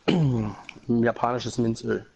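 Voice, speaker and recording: A man co-commentating a live sim race, speaking with a cold and clearing his throat. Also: medium pitch, fairly even intonation, takes it slowly, a little mellow. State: has a cold, coughing.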